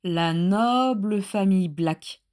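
A woman voicing a condescending male dark lord who speaks softly and slowly with false courtesy, in a fantasy story reading. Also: medium pitch, expressive, lightly acted. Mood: silky contempt. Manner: soft, caressing, slow, falsely courteous.

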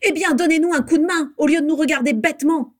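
A fiery young witch from a fantasy audiobook, acted by a woman narrator. She is scornful and explosive, snapping out her words in a high, loud voice. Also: moderate pace, natural voice. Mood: furious.

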